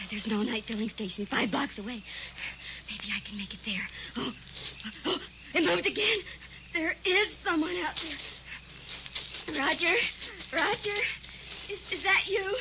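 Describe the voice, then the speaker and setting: An actress playing an anxious, desperate young wife who talks fearfully, in 1940s radio acting style. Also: female voice, high voice, a little slow, long pauses. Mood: terrified. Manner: breathless, fearful, pleading. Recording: dull old radio recording with loud hiss.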